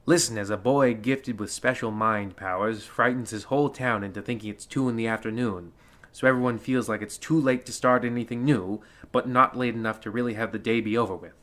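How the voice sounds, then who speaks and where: An actor playing a theatrical, pompous host of an old-time horror radio show. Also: male voice, mid-range voice, fairly even intonation, a little fast, fairly bright tone, close to an everyday voice. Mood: theatrically ominous. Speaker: radio play host narrator. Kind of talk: mock vintage horror anthology narration.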